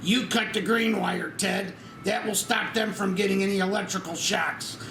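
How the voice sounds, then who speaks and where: A man acts out an earnest airliner crewman from a disaster spoof. His high, creaky voice gives emphatic, bossy orders. The room is echoey and noisy. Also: fairly even intonation, normal speed, bright, forceful tone, heavily acted. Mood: urgent instructing. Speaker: actor reading at a screenplay table read.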